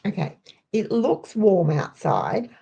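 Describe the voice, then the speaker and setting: A croaky-voiced woman chats her way through a crafting tutorial, greeting viewers as she goes. Her voice is gravelly and mellow, and she speaks at an unhurried pace. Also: medium pitch, big pitch swings, muffled recording. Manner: chatty, rambling, greets viewers by name. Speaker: crafting host. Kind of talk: casual live crafting tutorial.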